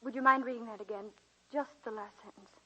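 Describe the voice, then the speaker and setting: A refined, warm-hearted teacher in an old 1940s radio play. Her voice is fairly high, bright and husky, with genteel, earnest delivery. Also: steady tone, brisk, rough recording. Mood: wistful. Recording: vintage 1940s radio broadcast.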